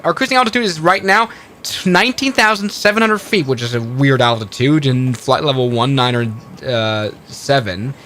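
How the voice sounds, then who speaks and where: A man's voice: medium pitch, bright, forceful tone, a little hiss or hum. A man casually talking through a flight simulator stream, narrating each step aloud and correcting himself as he goes. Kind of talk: casual live-stream commentary.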